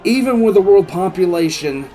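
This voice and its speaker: Male actor as war-scarred commissar, somewhat high male voice, halting dramatic monologue, sci-fi audio drama, music underneath. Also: expressive, dark tone. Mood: grave, shaken. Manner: halting, with repeated words and heavy dramatic pauses. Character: traumatized old imperial military commissar recounting a battle. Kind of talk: dramatic first-person monologue, science-fiction audio drama.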